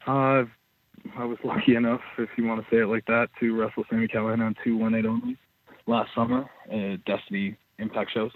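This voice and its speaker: A professional wrestler, a man, answering interview questions in a steady, matter-of-fact way. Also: lowish voice, brisk, no echo. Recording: tinny dry phone line.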